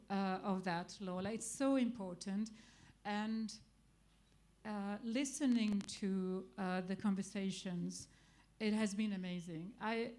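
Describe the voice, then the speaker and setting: A woman's voice: medium pitch, darkish tone. Woman with an Arabic accent, hesitant. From Iraq. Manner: hesitant, many fillers and restarts. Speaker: festival guest speaker. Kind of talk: spontaneous public talk.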